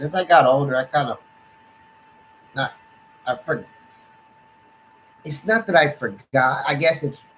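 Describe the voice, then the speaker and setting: A middle-aged Texan man talks sports on his live stream at a mid-range pitch. He rambles, stops and restarts his sentences, and leans on "you know" and "man". A faint hiss of noise sits under his voice. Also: rough recording. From Texas, USA. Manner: rambling, halting, many restarts and fillers. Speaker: sports channel host. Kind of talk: live-stream casual monologue. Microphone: desktop computer setup.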